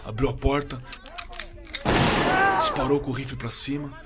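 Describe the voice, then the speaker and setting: An actor playing a shaken Brazilian man giving first-person horror testimony in an audio drama, halting, repeating words and swearing colloquially. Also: mid-range voice, flat delivery, heavy background noise, natural voice. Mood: tense, urgent. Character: shaken man recounting a terrifying camping encounter. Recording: suspense music under the voice.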